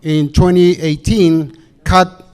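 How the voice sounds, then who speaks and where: A man's voice: on the high side, steady tone, takes it slowly, a little mellow, clear, resonant tone. Hesitant man speaking. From Peru. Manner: hesitant, many fillers and restarts. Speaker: council candidate. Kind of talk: campaign speech at a public meeting. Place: community hall.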